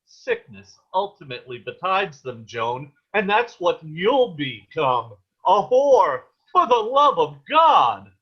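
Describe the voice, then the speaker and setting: A man performs a protective, anguished peasant father in a period drama. His voice sits slightly high and he takes his time over ornate, archaic phrases. The sound is a little dull, like an online call. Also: sing-song, slow, hardly any pauses, breathy edge, exaggerated voice. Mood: scolding, disgusted. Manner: ornate old-fashioned diction. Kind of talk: dramatic table read of a period stage play. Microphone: video call.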